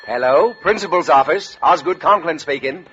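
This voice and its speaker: Blustery stuffy principal guy actor, high voice, stern n suspicious, muffled old radio show. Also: expressive, normal speed, noisy background, lightly acted. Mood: blustering. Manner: pompous, formal, blustering. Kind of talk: 1940s radio comedy acting. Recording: muffled vintage 1940s radio broadcast.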